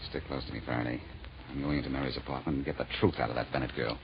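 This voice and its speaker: An actor playing a brash, exasperated amateur sleuth in a 1940s radio drama, sardonic and excitable. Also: male voice, a bass voice, racing, vocal fry, faint music behind. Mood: terse, determined. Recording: dull, noisy old radio recording.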